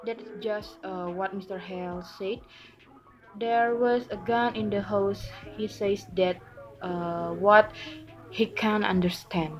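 A young woman playing a wistful, sympathetic farm wife in an amateur stage drama, with halting delivery. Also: mid-range voice, fairly even intonation, natural voice. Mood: doubtful. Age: young adult. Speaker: student actor in a class play. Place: small curtained room at home. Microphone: phone or laptop at arm's length. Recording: muffled narrow-band home recording, music behind.

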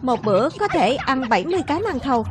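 A woman's voice: high voice, big pitch swings, quick pace. A woman voicing brash, bickering young heroes in a fairy-tale cartoon dub for children. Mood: boastful. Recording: music behind the voice.

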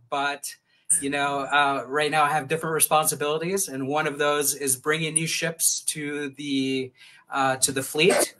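A man in his forties giving a casual live update as a director, halting and often repeating words. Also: fairly high pitch, pushed and bright, slight background noise, low-quality recording. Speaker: director of ship operations. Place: hotel room. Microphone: webcam on a video call.

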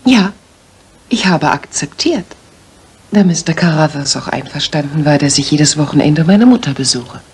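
A woman's voice: low voice, animated, moderate pace, a little mellow, slightly rough, full character voice. An actress playing an earnest, polite young lady music teacher in a German radio drama, carefully telling her story. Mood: earnest recounting.

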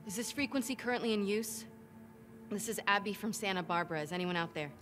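Video game lead: young woman survivor, played by an actress, hopeful but tough, clipped naturalistic delivery, mid pitch, music bed. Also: quick pace, natural voice. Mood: hopeful, searching.

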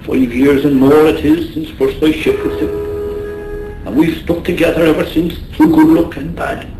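Highish-voiced Irish seaman. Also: steady tone, unhurried, over music. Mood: tender, loyal. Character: warm-hearted, superstitious, loyal Irish seaman. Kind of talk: radio drama acting. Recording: roomy, faintly noisy radio drama.

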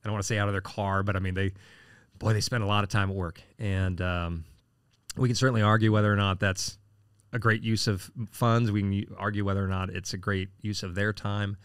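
A man's voice: lowish voice, flat delivery, fairly quick, a bit bright, clear, resonant tone, no room sound. A man in his late forties, a city council candidate answering interview questions casually, with lots of fillers and restarts. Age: 40s. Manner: rambling, frequent fillers and restarts.

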